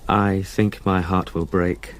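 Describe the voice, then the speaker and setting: An actor playing an uneasy, educated solicitor in a gothic radio drama, with measured, articulate, old-fashioned speech. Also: male voice, sits low, level tone. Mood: musing, absorbed. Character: uneasy, educated male solicitor recounting a haunting.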